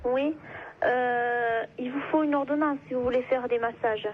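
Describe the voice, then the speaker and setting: Female receptionist, reserved, high-pitched, brief phone replies, dull line sound. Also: steady tone, normal speed, a little hiss or hum. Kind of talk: phone conversation.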